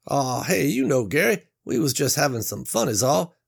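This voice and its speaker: A man's voice: mid-range voice, very animated, normal speed, creaky, slightly rough, pitched above the performer's own voice, heavily acted. A man voicing a crude, cocky male factory worker who talks in slang. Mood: brash. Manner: slangy, brash.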